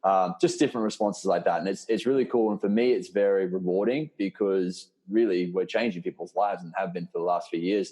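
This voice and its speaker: A man's voice: deep voice, warm tone, breathy edge. A man hosting a casual group call as a fitness coach, speaking in an encouraging, reassuring, informal way. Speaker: host and fitness program coach. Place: live online video call.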